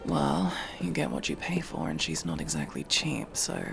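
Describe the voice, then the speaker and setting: A man playing a guarded but kind armored adventurer in a fantasy roleplay audio drama, gravelly, dry and gently teasing. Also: medium pitch, huge pitch swings, normal speed, full character voice. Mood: dry, reassuring. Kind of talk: immersive roleplay audio drama, one-sided conversation.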